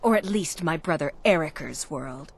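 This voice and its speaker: A woman's voice: low-pitched, lively intonation, normal speed, a little hiss or hum. A woman voicing a jaded, resentful townswoman in a fantasy video game, sarcastic and dismissive. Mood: sardonic. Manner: sardonic, dismissive.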